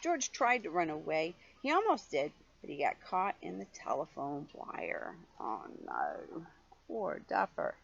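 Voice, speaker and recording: A woman's voice: sits low, big pitch swings, unhurried, gravelly, slightly rough, lo-fi sound. An older woman, a grandmother reading a children's story expressively, with chatty questions to her young listener.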